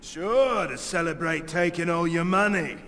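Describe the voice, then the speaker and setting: A man's voice: fairly high pitch, measured pace, sharp tone. An actor playing a smug, boisterous male guard in a fantasy video game. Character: cocky male human guard at cards. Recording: echoing hall with faint ambient noise.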